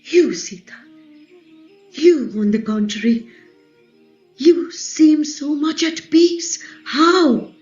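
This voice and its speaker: A fierce, proud queen of ancient epic , played by a woman in a play reading. She speaks slowly and formally in a fairly high voice, with a clear echo around her. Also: lively intonation, darkish tone. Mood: bitter anger. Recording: echoey, slightly dull, music underneath.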